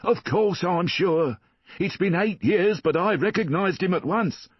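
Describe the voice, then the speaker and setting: A man's voice: sits high, animated, measured pace, breathy edge, dry recording, voice pushed up, exaggerated voice. A man voicing a fawning, scheming poor man who pleads and whines. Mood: insistent. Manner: whining, pleading. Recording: dull dry lo-fi.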